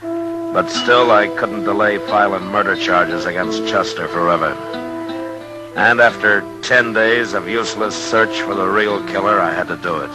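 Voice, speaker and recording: An American actor playing a gruff, weary, decent Old West marshal in a 1950s radio drama, speaking in a terse, measured way. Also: male voice, fairly low pitch, natural voice, rough recording. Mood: weary narration. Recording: vintage 1950s radio recording, music.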